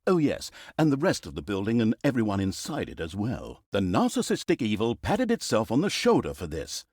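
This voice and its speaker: An actor playing a wry, mischievous storyteller in a fantasy game, narrating in a theatrical, mock-dramatic, sardonic manner. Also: male voice, on the low side, huge pitch swings, a little fast, darkish tone, no echo, clean studio sound, exaggerated voice. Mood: mock-grand. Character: wry, mischievous male storyteller mocking an evil fantasy saga.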